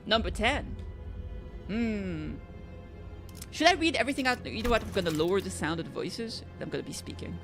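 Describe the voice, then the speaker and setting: A woman's voice: medium pitch, animated, moderate pace, long pauses, music in the background. Playful woman streamer reading game lines. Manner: playful, reads game subtitles aloud, bursts into squeals. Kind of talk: casual gameplay commentary.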